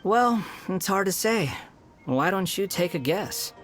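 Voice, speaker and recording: A man's voice: high-pitched, animated, measured pace, over music. An actor playing an aloof, sardonic young man who mocks and dodges questions, in video game dialogue. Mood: taunting. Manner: mocking, dismissive, evasive.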